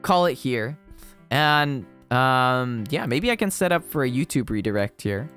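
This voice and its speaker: A man's voice: medium pitch, lively intonation, unhurried, a bit bright, soft background music. A man streaming a game and chatting casually, with reverb on the voice. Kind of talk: casual livestream chat. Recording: reverberant, echoey voice effect.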